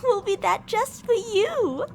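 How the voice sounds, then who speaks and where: A woman's voice: extremely high pitch, animated, slow, gravelly, slight background noise, exaggerated voice. A woman playing teasing, possessive female mad scientists in an ASMR roleplay, coaxing and domineering with sweet menace. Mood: playful, taunting.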